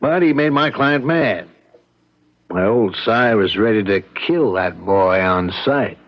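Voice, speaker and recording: A man's voice: lowish voice, animated, slow pace. A man playing a seasoned, worldly small-town lawyer in a radio drama, talking in a blunt, knowing, folksy way. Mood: persuasive. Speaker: actor. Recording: muffled radio drama recording.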